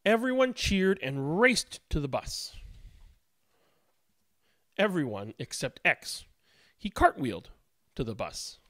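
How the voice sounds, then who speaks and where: A storybook reader telling a children's picture book in an expressive, deliberate way with emphatic word stress. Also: mid-range voice, dry recording, studio quality.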